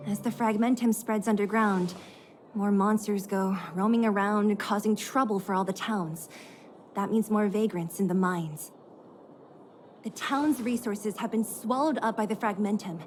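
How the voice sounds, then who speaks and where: A woman's voice: mid-range voice, measured pace, a little hiss or hum, lightly acted. A woman voicing a tough, blunt, cocky young female fighter in a video game. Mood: bitter. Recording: game music behind the voice. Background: music.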